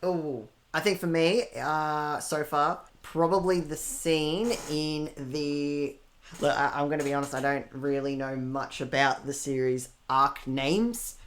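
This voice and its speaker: A woman's voice: sits low, steady tone, unhurried. A woman chatting casually as an amateur voice actress being interviewed.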